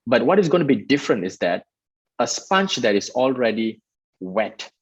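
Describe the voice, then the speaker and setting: A man's voice: mid-range voice, very animated, moderate pace, breathy edge, dry recording, dull audio. A man from Malaysia, a guest in an interview, explaining his work at length in an earnest way with many restarts. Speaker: guest, startup festival director. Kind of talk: interview answers.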